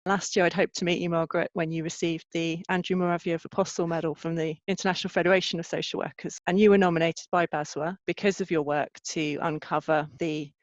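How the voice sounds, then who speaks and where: A man reads a formal tribute in a flat, even monotone. His voice is fairly high, and the recording is dry and slightly muffled. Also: quick pace, few pauses, dry recording.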